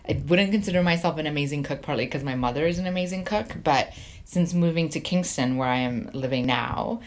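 A middle-aged woman with a low voice speaks as a guest in a relaxed interview. Her talk is informal and explanatory, in the loose phrasing of casual conversation. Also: brisk. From Canada, born in Sri Lanka. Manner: informal, explanatory, frequent 'like' hedging. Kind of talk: casual chat and cooking walkthrough.

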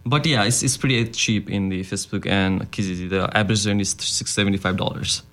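A young student from Nepal speaks English in a fairly low voice. His answers are earnest, and he pauses a lot as he looks for words. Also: fairly even intonation, fairly bright tone, slight background noise. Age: young adult. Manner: earnest, hesitant with fillers and restarts. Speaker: student guest. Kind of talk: interview answers.

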